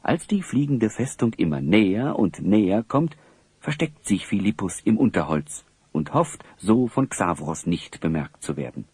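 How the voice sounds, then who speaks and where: A man narrating a scripted audio drama as a storyteller, with a husky voice. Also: fairly low pitch, big pitch swings, normal speed, darkish tone, no echo, exaggerated voice.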